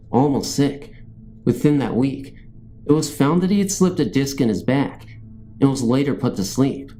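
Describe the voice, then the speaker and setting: A man's voice: mid-range voice, mellow. A man reading scary stories as a narrator, in a scripted storytelling style.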